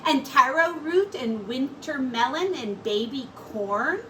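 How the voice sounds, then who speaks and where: A woman voicing excited storybook villagers, eagerly calling out in exclamations. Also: high-pitched, slow. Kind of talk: storybook read-aloud. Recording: distant mic with clear background noise.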